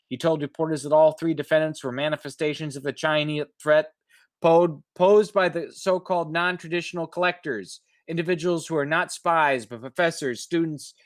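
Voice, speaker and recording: A man's voice: a little high, dry recording, clean studio sound. A man reading news articles aloud and breaking off into skeptical, opinionated asides of his own. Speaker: host reading news stories with commentary.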